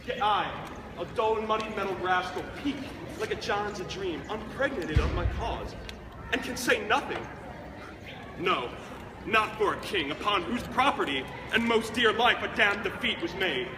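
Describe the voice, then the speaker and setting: A man's voice: high voice, lively intonation, normal speed. A young man performing an agitated, self-loathing prince in a declamatory Shakespearean stage monologue. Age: teenager. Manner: declamatory, swinging to shouts. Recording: echoey courtyard, crowd and noise.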